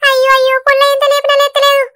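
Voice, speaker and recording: A squeaky, bright cartoon mother-in-law who fusses loudly in a Telangana Telugu accent. She talks very fast and very high. Her village manner is bustling and excitable. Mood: alarmed. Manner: loud, fussing, quick Telangana village talk. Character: fussy village mother-in-law, middle-aged woman. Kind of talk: cartoon voice acting.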